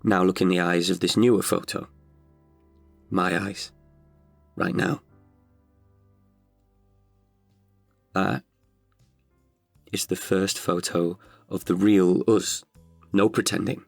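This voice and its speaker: A man's voice: low voice, flat delivery, slow pace, vocal fry, pauses often, no echo, soft background music, exaggerated voice. A man in his thirties performing an intimate, chatty monologue as an older, wiser self tenderly addressing his past self. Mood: tender, determined. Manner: chatty, wry, heartfelt. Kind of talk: intimate confessional audio monologue.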